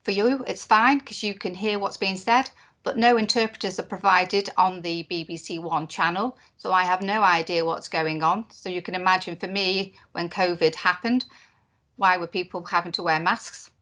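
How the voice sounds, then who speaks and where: A woman voicing a deaf presenter's signed conference talk into spoken English, in short phrases with pauses and fillers. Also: fairly low pitch, steady tone, fairly bright tone. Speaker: sign language interpreter voicing a deaf presenter. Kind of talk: live voiced interpretation of a conference talk.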